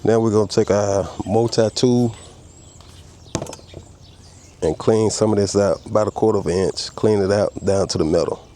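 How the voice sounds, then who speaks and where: Man, low voice, head cold, laid-back workshop how-to talk, faint outdoor sounds. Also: fairly even intonation, moderate pace, pauses often, warm tone, slight background noise. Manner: easygoing, talks while working, explains each tool. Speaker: custom car restorer demonstrating a repair. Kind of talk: casual step-by-step how-to narration.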